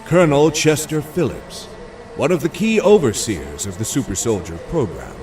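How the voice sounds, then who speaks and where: A man reading scripted audio drama narration in a measured, suspenseful storytelling manner. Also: a little high, expressive, darkish tone, distant mic, soft background music.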